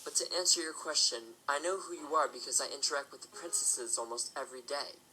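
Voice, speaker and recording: An actor playing a composed, protective middle-aged pony father and castle official in an audio drama, measured and formal. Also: fairly high pitch, bright, forceful tone, poor audio. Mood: calm, wry.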